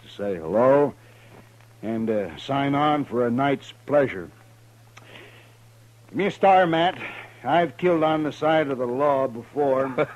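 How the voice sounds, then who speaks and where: A rough, jovial gunslinger, played by a male actor with a folksy American Western drawl. He swaggers and joshes at a middle pitch, as in old radio westerns, on a dull vintage recording. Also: takes it slowly, pauses often, lightly acted. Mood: easy swagger. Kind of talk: 1950s radio western acting.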